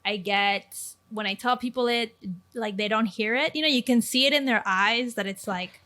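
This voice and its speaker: A woman's voice: fairly high pitch, moderate pace. A woman from Chile hosting a casual interview podcast, chatty, with many "like"s and "um"s. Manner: warm, chatty, lots of "like" and "um". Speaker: podcast host.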